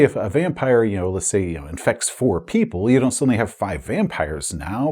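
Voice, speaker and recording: A man's voice: fairly low pitch, darkish tone, husky. A man telling viewers a retrospective in a conversational, emphatic storytelling way, as an author hosting his own channel. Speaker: novelist and YouTube host.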